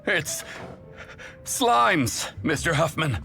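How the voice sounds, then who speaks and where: A nervous, deferential knight's underling from a video game. His voice is fairly high, sharp and creaky. He speaks hesitantly to his superior. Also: very animated, extremely slow, soft background music, heavily acted. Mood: alarmed.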